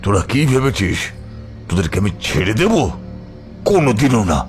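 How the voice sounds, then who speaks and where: An actor playing a ruthless, vengeful crime boss in a horror audio drama, with a mocking, menacing manner. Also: male voice, on the low side, big pitch swings, music in the background. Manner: menacing, mocking, drawn-out rhetorical questions.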